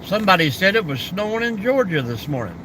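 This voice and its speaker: A man's voice: sits high, sing-song, fast talker, background noise. An older man hosting a casual live stream, answering viewer comments in an easygoing, folksy way and reminiscing about the old days.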